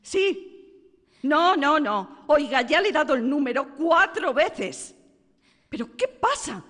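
Volatile mother, radio drama, very animated, fairly high voice, reverberant sound. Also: slow, heavily acted. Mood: furious, exasperated. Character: exasperated, volatile mother of a young girl.

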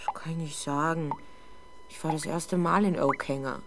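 A young woman playing a frightened, defensive teenage girl under police questioning in a 1970s German radio drama, halting and easily exasperated. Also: low voice, steady tone, background noise. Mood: hesitant, uneasy. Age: young adult. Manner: hesitant, halting, exasperated when doubted.

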